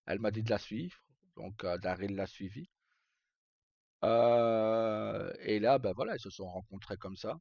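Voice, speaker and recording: A man live streaming, casually telling roleplay stories in a rambling, halting way with pauses mid-sentence. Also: lowish voice, fairly even intonation, creaky, darkish tone. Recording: slightly muffled, roomy, faint music. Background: background music.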